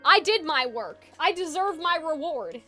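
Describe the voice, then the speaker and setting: A woman live-streaming a video game, reacting and chatting casually in an excitable, exclamatory way and often repeating herself. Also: high voice, measured pace. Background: game music.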